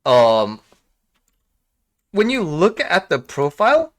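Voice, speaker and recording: A man from Korea talking casually and reflectively to viewers as a life coach, halting often and posing rhetorical questions. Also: on the high side, huge pitch swings. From South Korea. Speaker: life coach and video host.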